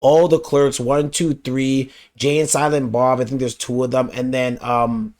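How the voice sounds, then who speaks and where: Young man, raspy voice, casual reaction host, slangy, rambling. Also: medium pitch, little pitch movement, measured pace, a little mellow. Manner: laid-back, slangy, rambling.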